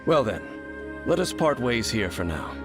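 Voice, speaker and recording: An actor playing a calm, wise man who gives slow, formal advice in a video game. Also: medium pitch. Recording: game audio with music.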